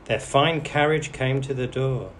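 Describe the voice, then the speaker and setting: A man tells a bedtime story for language learners, medium-pitched and deliberate. He reads with animation, and there is noticeable noise behind him. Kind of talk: read-aloud bedtime story for English learners. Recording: clearly noisy background.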